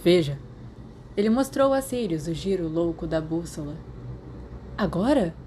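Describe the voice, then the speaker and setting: A woman's voice: lowish voice, animated, brisk, warm tone, slight background noise. A woman with a Brazilian accent reading scripted fiction as an audiobook narrator. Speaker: podfic narrator.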